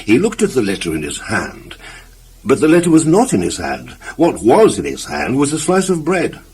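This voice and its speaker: A man reads a children's story with very lively, swinging intonation. His mid-pitched voice has a husky grain, and he leans on key words as he addresses the listener. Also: normal speed. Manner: expressive, emphatic, addresses the listener. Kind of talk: scripted children's story narration.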